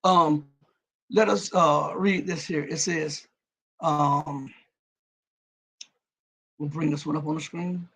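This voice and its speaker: Gravelly male live-stream host, high-set voice, raspy and cracking at times, emphatic, mutters his asides. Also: steady tone, slow pace, long pauses, fairly bright tone, low-quality recording. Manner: emphatic, repeats words and restarts, drops his voice to mutter asides. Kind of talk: live-stream commentary, reading viewer comments.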